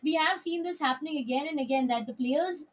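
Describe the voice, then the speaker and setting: A woman's voice: high-pitched, fairly quick. A woman casting a live esports match over a headset mic, talking in a chatty way with many filler sounds. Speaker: esports caster.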